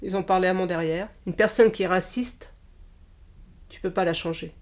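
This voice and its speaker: A woman in her fifties, originally from Portugal, talks haltingly in a casual interview, with frequent pauses and restarts. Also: fairly low pitch, fairly even intonation, a little mellow. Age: 50s. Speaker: interviewee, childminder.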